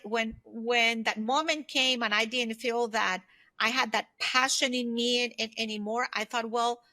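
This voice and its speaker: A woman's voice: on the high side, bright, forceful tone, no echo. A woman in her fifties giving reflective, conversational answers as an interview guest. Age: 50s. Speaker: podcast guest, former executive turned solopreneur.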